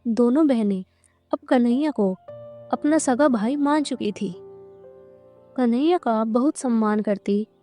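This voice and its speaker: A woman's voice: a little high, dark tone. A woman narrating a scripted cartoon moral story as its storyteller.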